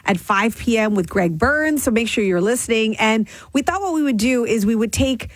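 Giggly, husky-voiced female radio co-host. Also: fairly high pitch, expressive, moderate pace, no echo. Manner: giggly, guesses eagerly. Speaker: radio morning show co-host. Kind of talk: playful radio banter.

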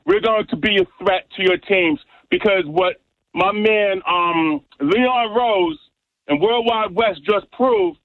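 A high-voiced man with a New York accent calls in to a radio show and brags emphatically. He comes through a tinny, dry phone line. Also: dry recording. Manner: boastful, emphatic trash talk. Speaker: radio caller. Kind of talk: call-in sports rant.

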